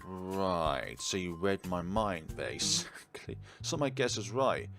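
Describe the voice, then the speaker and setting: Low-voiced man narrating over game music. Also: lively intonation, takes it slowly, fairly bright tone. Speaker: gaming YouTuber. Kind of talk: let's play commentary, reading visual novel text aloud. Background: video game music.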